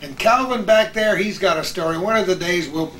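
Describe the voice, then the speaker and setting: Older guy hosting a chat, folksy, pretty high voice, echoey distant mic. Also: brisk, a crowd in the background. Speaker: talk show host. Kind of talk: casual reminiscing chat with old colleagues. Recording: roomy, distant mic, faint noise.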